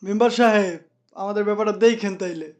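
A man's voice: sits high, fast talker, muffled recording, lightly acted. A man playing an eager, complaining village youngster in a cartoon. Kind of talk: cartoon dialogue.

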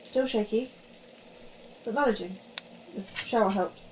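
A woman's voice: mid-range voice, measured pace, a little mellow, a little hiss or hum, lightly acted, rough recording. A woman playing an anxious astrobiologist in an audio drama, with a hesitant, understated way of talking. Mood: shaky, mild. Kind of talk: naturalistic audio drama acting.

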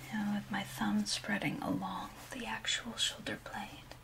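A woman in her thirties whispering breathily in a soothing, instructive ASMR massage role play. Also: medium pitch. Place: small indoor room. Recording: clearly noisy, with rubbing sounds.

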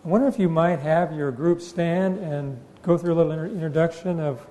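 A man's voice: on the high side, a little fast, mellow. An older man, a pastor, introducing a group and leading prayer in a church sanctuary with a handheld mic, in a jovial, joking way.

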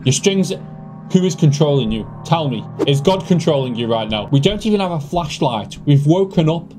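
High-pitched young man doing game commentary. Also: normal speed. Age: young adult. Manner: animated, nervous joking ramble. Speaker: gaming video host. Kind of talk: casual reaction commentary on a horror game. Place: small room with foam panels at home. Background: eerie game music with organ.

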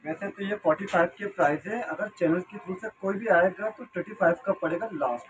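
A fairly high-pitched man answers briefly as a shopkeeper, stating prices, sizes and custom orders in a matter-of-fact way. Faint shop noise sits under his voice in the small store. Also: fairly quick, low-quality recording. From Pakistan. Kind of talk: explaining products and prices. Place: small clothing shop in a mall.

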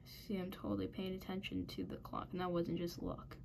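A woman giving casual commentary while playing a game, muttering and mocking herself in frustration, a little raspy at times. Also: fairly low pitch, little pitch movement, fairly quick, a little mellow, slight background noise. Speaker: gaming channel host.

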